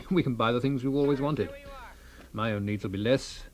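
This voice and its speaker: An actor playing a self-pitying middle-aged husband given to exaggeration, exclaiming and repeating himself in comic radio play style. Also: male voice, mid-range voice, moderate pace, close to an everyday voice. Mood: resigned, brightening. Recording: noisy radio drama recording.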